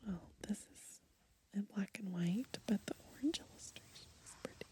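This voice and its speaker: A woman softly murmuring ASMR commentary as she browses a book, breathy and gentle, outdoors. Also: medium pitch, normal speed. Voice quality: breathy, whispery. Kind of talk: ASMR, soft murmured commentary and title reading. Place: outdoors on grass.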